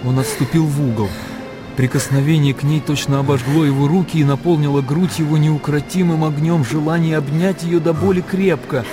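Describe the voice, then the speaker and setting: A man's voice: a little high, steady tone, a little fast, music in the background. A man narrating a literary radio drama in a dramatic storytelling style.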